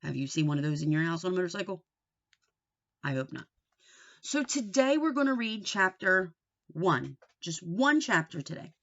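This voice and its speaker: A woman teaching and reading aloud to young children, explaining things simply, asking them questions and reading with deliberate expression. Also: lowish voice, sing-song, fairly bright tone. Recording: dull, lo-fi sound.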